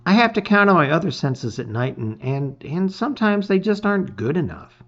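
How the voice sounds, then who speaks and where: A man's voice: sits high, big pitch swings, normal speed, lo-fi sound, faint music behind, voice pushed up, heavily acted. A man voicing an anxious, earnest young lizard boy in a storybook adventure. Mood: uneasy, wistful. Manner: hesitant, exclamatory.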